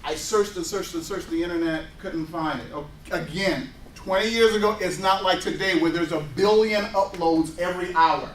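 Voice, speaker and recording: High-voiced man presenting a workshop in a roomy space. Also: moderate pace, few pauses, slight background noise. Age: 40s. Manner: animated storyteller who acts out dialogue. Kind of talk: workshop talk with personal anecdotes. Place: indoor workshop room with an audience. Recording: roomy room, voice picked up from a distance.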